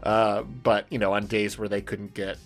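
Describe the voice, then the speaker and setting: A man hosting a podcast in casual comedic chat, animated and quick to laugh. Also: on the low side, soft background music. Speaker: podcast host.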